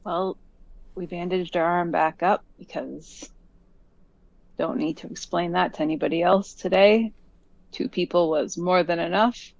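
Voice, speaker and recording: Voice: mid-range voice, fairly even intonation, a little slow, creaky, no echo. A tabletop game player who describes their character's actions in a chatty, rambling way with many fillers. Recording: dull, dry lo-fi mic.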